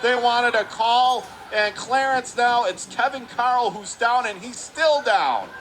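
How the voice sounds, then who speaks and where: A man calling live hockey play-by-play on radio, his calls climbing into shouts when the play heats up. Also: very high-pitched, expressive. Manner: rapid calls rising to shouts on big plays. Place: hockey arena broadcast booth. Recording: noisy arena background.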